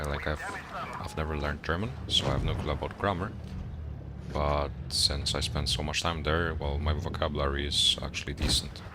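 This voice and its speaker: A man's voice: extremely low pitch, very noisy. A young man from Poland with a Polish accent, a university student whose first language is Polish. He chats casually with viewers on a gaming livestream, greeting them and thinking aloud as he searches for words. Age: young adult. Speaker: gaming streamer. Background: game music.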